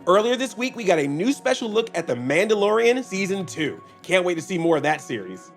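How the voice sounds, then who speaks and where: A man co-hosting a talk show, speaking as an enthusiastic, excitable fan. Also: sits high, brisk, faint music behind. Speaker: co-host.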